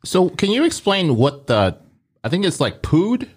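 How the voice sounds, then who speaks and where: A man hosting a casual interview, relaxed and curious, with casual slang. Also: sits high, a little slow, slightly rough. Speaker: host.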